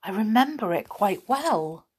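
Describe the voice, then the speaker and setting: An earnest young boy who talks in a serious, thoughtful way, as a woman reading aloud does him. His storybook voice sits near her own, slow and plain. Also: mid-range voice, sing-song, breathy edge, pitched above the performer's own voice.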